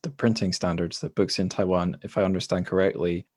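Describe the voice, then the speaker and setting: Low-voiced Scottish man hosting. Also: steady tone, a little fast, darkish tone, dry recording, clean studio sound. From Scotland. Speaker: podcast host. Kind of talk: casual interview chat.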